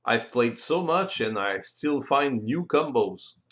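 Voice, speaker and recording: Male game-rules presenter, native French speaker with French accent, explanatory delivery, slightly halting phrasing, mid-range voice. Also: mid-range voice, animated, a bit bright. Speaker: board game designer and presenter. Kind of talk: explanatory tutorial voice-over.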